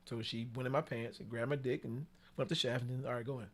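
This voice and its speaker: A man telling bawdy stories casually as a podcast guest, slangy and animated, acting out what people said. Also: mid-range voice, fast talker. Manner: animated, slangy, with acted-out quotes.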